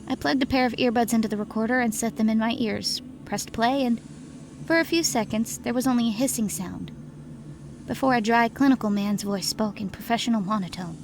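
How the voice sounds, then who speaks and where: A woman's voice: a little high, brisk, creaky, slight background noise. A woman narrating a scary story in the first person, reading from a script.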